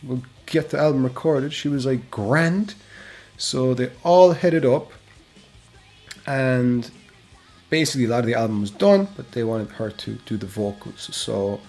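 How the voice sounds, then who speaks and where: A man's voice: medium pitch, darkish tone. A man hosting a music history show, narrating a band's story in a casual, chatty way and often tagging on "right?". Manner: chatty, keeps adding "right?". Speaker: music history channel host.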